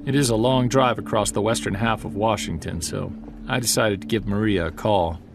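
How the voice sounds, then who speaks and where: Man acting low-voiced, wry Sasquatch investigator. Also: normal speed, vocal fry, noisy background. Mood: confiding, earnest. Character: earnest male Sasquatch investigator, wry and wounded. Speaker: narrator and lead of an audio drama. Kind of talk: first-person dramatic narration and dialogue. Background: music.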